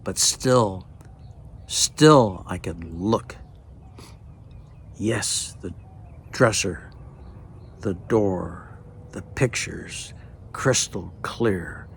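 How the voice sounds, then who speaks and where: A man in his late seventies telling a personal story in a hesitant way, with many pauses, and often dropping to a near-whisper. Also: fairly low pitch, a little hiss or hum. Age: late 70s. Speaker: storyteller recounting a personal experience. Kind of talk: unhurried spoken personal narration.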